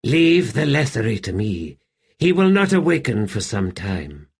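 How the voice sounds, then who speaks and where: A man voicing an ancient male wraith who speaks formally with quiet amusement. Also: medium pitch, sing-song, measured pace, breathy edge, full character voice. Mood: deferential. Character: ancient undead male wraith, courtly and sly.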